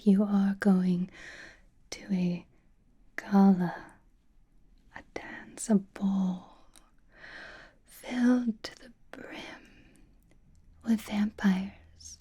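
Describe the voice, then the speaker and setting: A woman voicing a doting, teasing vampire in a breathy ASMR whisper, coy and theatrical, with lingering phrasing. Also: medium pitch, dark tone. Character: flirtatious, doting, teasing female vampire companion.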